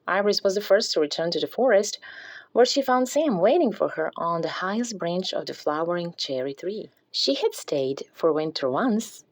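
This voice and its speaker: A woman's voice: fairly low pitch, lively intonation, moderate pace. A woman narrating a children's picture book as a scripted read-aloud. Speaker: storybook reader.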